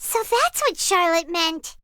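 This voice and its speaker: A woman voicing a small, childlike fairy companion in a video game, squeaky, eager and chatty. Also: animated, takes it slowly, pushed and bright, no echo, studio quality, exaggerated voice. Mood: thoughtful. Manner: eager, bubbly, refers to self by name. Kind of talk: video game character acting.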